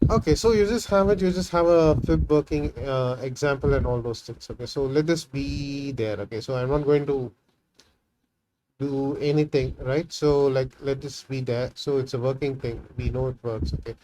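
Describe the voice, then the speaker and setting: A man whose first language is Tamil walks through a programming problem out loud in a loose, unscripted way. He keeps checking in with "right?" and "okay, so" while testing. His voice sits at an ordinary middle pitch, with a faint hiss and clicking under it. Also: fairly even intonation, moderate pace. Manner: thinking aloud, many fillers and "right?" tags. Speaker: programming tutorial host. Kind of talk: casual screen-recorded coding walkthrough.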